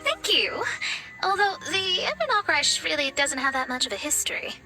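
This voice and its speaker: A woman's voice: sits high, very animated, moderate pace, rough recording. A woman voicing a proud, earnest young female astrologer in an anime game. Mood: thoughtful. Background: music.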